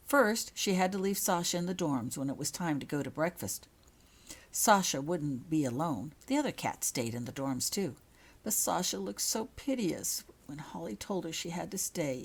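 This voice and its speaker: Low, husky woman reading a story aloud. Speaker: author reading own fan fiction. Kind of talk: scripted storybook narration.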